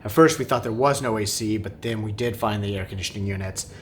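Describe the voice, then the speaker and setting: Low-voiced man recounting things to camera. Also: quick pace. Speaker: family travel vlogger. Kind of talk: unscripted recap to camera. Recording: clear background noise, low rumble.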